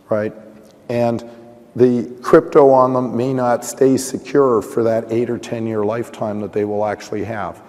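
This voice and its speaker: A hoarse man giving a technical conference talk. He thinks aloud, restarting sentences and often saying "you know". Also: medium pitch, a little mellow. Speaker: conference panelist. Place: conference session room. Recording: reverberant conference hall.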